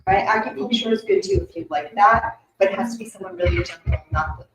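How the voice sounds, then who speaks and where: A woman's voice: medium pitch, fast talker. Chatty woman giving spirit readings. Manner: warm, rambling, full of fillers and asides. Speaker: intuitive reader giving messages to an audience. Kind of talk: live group reading, casual and chatty. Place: event room with an audience. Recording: echoey room.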